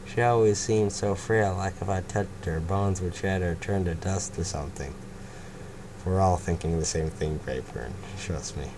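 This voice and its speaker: A man's voice: deep voice, steady tone, normal speed, noisy background. A man reading a fanfic story aloud casually, tripping over words and chatting in asides. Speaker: fanfiction reader.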